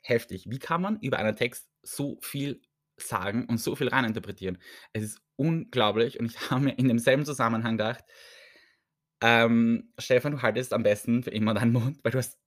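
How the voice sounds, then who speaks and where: A man's voice: medium pitch, brisk, dry recording, studio quality. A man with an Austrian accent hosting a solo podcast, chatty and self-mocking, laughing often as he rambles. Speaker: podcast host. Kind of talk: casual solo podcast chat.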